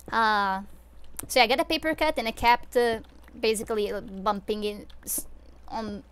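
A young Swiss woman livestreams gameplay from home, chatting in a loose, rambling way with frequent fillers and small laughs. Her voice sits a touch high and comes through smooth and clear on a desk microphone. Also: measured pace, pauses often, a bit bright. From Switzerland. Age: young adult. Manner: rambling, many fillers, giggly. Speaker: streamer. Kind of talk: casual live gameplay chat. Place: home room.

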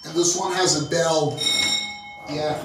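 A man's voice: on the high side, steady tone, takes it slowly, very noisy. A man filming a casual elevator tour, announcing things in short, simple phrases. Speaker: elevator enthusiast filming a video. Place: inside an elevator and lobby indoors. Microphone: handheld phone camera. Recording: echoey small rooms, handheld phone.

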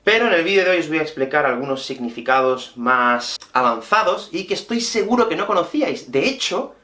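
A man in his thirties with a Peninsular Spanish accent gives a language lesson straight to his viewers. His voice is fairly high and very lively in pitch, explaining things expressively. It is recorded in an echoey small room. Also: brisk. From Spain. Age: 30s. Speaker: Spanish teacher and video host. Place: small indoor room with whiteboard and bookshelf.

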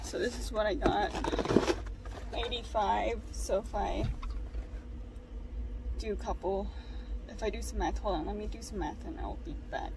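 A woman's voice: medium pitch, measured pace, gravelly, long pauses, distant mic, heavy background noise. A woman in her twenties chatting casually to her vlog audience from a parked car, rambling and hesitant with lots of ums. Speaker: vlogger. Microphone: phone camera propped low in the car.

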